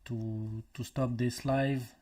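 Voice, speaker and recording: A man from Lebanon whose first language is Arabic, hosting a casual live stream chat over a video call, pausing and restarting as he looks for the right English words. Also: medium pitch, flat delivery, warm tone. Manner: hesitant, restarts while searching for English words. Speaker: channel host.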